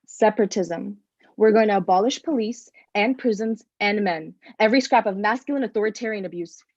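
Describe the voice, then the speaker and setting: A woman's voice: mid-range voice, fairly quick, a little mellow, no room sound, natural voice. A woman playing a dry, hard-line radical separatist in a live staged play reading. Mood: scornful, radical. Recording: dry, slightly dull microphone.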